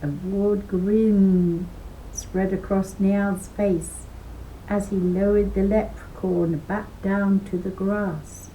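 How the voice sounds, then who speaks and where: A woman in her sixties or older reading a children's story aloud expressively, with deliberate phrasing and dramatic emphasis, at home on a computer microphone. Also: medium pitch, level tone, mellow, slight background noise, low-quality recording. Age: 60s or older. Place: living room at home beside window. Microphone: webcam or laptop microphone.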